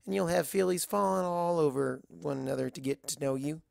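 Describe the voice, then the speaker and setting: A narrator voicing a friendly, chatty waitress pony who gently reassures and encourages in a read-aloud story. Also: mid-range voice, fairly quick, creaky, dry recording, studio quality. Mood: reassuring, chatty.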